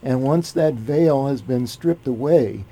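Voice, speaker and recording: A man hosting a talk show, asking his questions slowly and deliberately with many fillers. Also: medium pitch, warm tone. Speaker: host.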